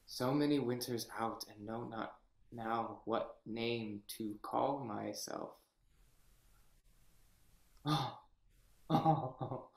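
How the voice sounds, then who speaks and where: An actor playing a deposed young king, bitter and theatrical, in a play reading over a video call, swinging from hushed whispers to anguished shouts. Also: on the high side, reverberant. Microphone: webcam on a video call.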